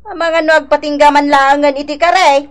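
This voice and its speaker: A woman's voice: sits high, fairly even intonation, moderate pace, natural voice. A woman with an Ilocano accent playing a lamenting, chiding older mother in a radio drama. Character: fretful, admonishing older mother, caring but stern.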